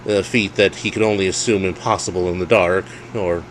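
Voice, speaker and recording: Male narrator, low register, wry storyteller reading spooky comedy, slight noise behind the voice. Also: fairly even intonation, fairly quick, poor audio. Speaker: author reading own story.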